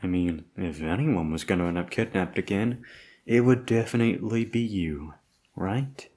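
A man playing a tough, protective, foul-mouthed bodyguard with a husky voice in an ASMR audio roleplay. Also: deep voice, moderate pace, darkish tone. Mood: gentle, weary. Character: tough protective male bodyguard, foul-mouthed, tender toward his charge.

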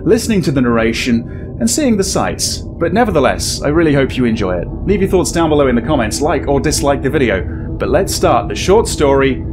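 A man in his thirties hosts a game playthrough with a mid-pitched, animated voice. He talks casually to viewers, close to the mic, over game music. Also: fairly quick. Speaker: host of a gaming channel. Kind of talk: casual gameplay commentary to viewers. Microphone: desk microphone close up, headset worn.

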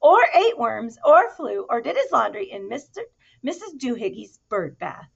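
A woman's voice: fairly high pitch, animated, unhurried, gravelly, husky, lo-fi sound, voice pushed up, full character voice. A woman voicing a bossy, long-winded mother cat who lectures her kitten. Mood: scolding. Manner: loud lecturing nag.

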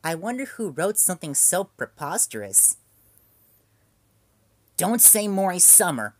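A man voicing a sharp-tongued teenage girl who teases and mocks. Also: fairly high pitch, no room sound. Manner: mocking, teasing.

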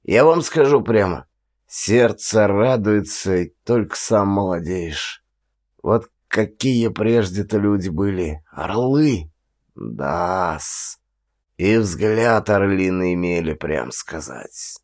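A man's voice: deep voice, lively intonation, slow pace, a little mellow. A man voicing a grey old Siberian former police chief, boastful and nostalgic, rambling in an old-fashioned deferential way for an audiobook. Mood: nostalgic, reverent. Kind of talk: audiobook character dialogue.

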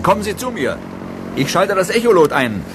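Brisk, commanding scientist, lively intonation. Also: male voice, high-pitched. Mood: intent, excited. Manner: brisk, authoritative. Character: brisk, commanding male monster-hunting scientist. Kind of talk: German radio play acting. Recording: engine noise behind the voices.

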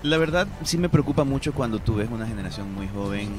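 A man's voice: mid-range voice, animated, quick pace. A man giving his opinions casually as a guest in a radio studio. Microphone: studio broadcast microphone. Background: music bed.

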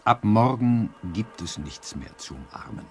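A man's voice: on the low side, very animated, unhurried, slight background noise. An actor playing a cynical older father in a radio play, talking with sardonic mockery. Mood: bitterly sardonic. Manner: sardonic, mocking. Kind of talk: German radio play dialogue.

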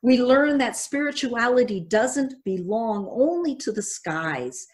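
Measured older woman preaching from a pulpit. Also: fairly high pitch, lively intonation, darkish tone. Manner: measured, reflective, reading from notes. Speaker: pastor. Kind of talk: sermon. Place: church sanctuary at a pulpit.

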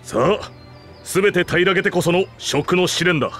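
A man's voice: high-pitched, normal speed, fairly bright tone. A man playing a solemn overseer of a trial in a Japanese video game, speaking formally and declaratively. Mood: ceremonial. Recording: background music under the voice.

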